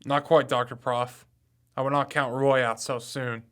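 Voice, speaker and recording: A man's voice: mid-range voice, flat delivery, moderate pace, natural voice. A man playing a confident young amateur campus detective in an audio drama, matter-of-fact with wry asides.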